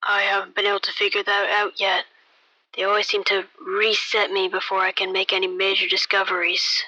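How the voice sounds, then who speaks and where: A young amateur performer playing a haunted, weary ex-policeman, telling the story in a measured, brooding way with dramatic pauses in a radio play. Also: mid-range voice, monotone, sharp tone, dry recording, highs cut off. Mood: grim. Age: young adult. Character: haunted, weary ex-policeman grieving his vanished wife.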